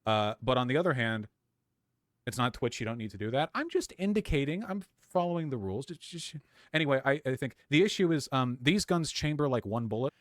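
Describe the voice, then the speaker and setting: A man chatting casually on a livestream in a hesitant, halting way. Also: medium pitch, expressive, no echo, clean studio sound. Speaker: streamer showing his belongings.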